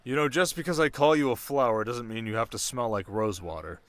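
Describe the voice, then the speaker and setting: A young desert king, acted out by a man in an intimate ASMR roleplay, with a medium-pitched voice. He is shrewd and protective, teasing in a measured way while keeping a quiet air of command. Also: no echo, natural voice. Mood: playful, brisk.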